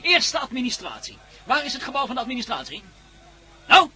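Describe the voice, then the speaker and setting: An actor playing a cocky, mocking adventurer who leads a rescue, sardonic and commanding, in a radio drama. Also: male voice, extremely high pitch, huge pitch swings, brisk, pushed and bright, exaggerated voice.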